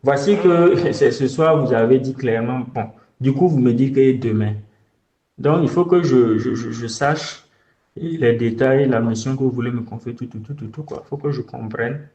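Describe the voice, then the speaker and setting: A man's voice: medium pitch, moderate pace, mellow. A man with a West African French accent talks in a private phone conversation, hesitantly, often repeating his words. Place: indoors, in a bedroom on a phone call. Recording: echoey, dull phone call recording.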